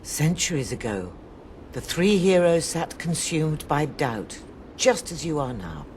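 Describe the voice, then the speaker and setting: A woman voicing an ancient seer who tells old history in a grave, measured way. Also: low-pitched, very animated, slight background noise. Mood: solemn. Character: ancient female seer, solemn and guiding. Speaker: narrator. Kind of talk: game cutscene narration. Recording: loud game score behind.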